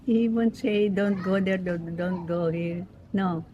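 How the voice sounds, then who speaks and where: A woman in her nineties from the Philippines, speaking with a Filipino accent and Pampango as her first language, rambling gently and laughing easily as she recalls her life. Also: fairly low pitch, steady tone, slow pace. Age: 90s. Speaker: interviewee. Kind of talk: reminiscing in casual chat. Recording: lo-fi with background noise.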